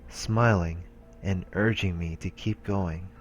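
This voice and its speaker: A man reading visual novel text aloud in a casual, halting way, as a let's player. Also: deep voice, fairly even intonation, highs cut off, faint music behind.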